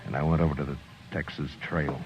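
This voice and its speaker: Grim stubborn old west marshal, real low voice, terse, dull old radio sound w music. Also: male voice, steady tone. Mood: somber. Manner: terse, deliberate, understated. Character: grim, stubborn frontier lawman, grown man, tender toward friends. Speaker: lead actor and narrator. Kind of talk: 1950s western radio drama acting.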